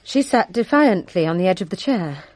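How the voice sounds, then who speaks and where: A composed, resentful servant in close, dry sound. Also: female voice, lowish voice, expressive, moderate pace, a little mellow, dry recording, rough recording. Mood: careful testimony. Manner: formal, deferential, precise storytelling. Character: servant woman giving testimony, composed yet resentful of her young rival. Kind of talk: radio drama acting.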